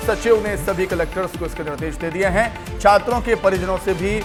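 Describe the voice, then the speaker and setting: A man's voice: sits high, brisk. A man reading television news as an anchor, in an emphatic style that repeats headlines, with music behind. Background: news music bed.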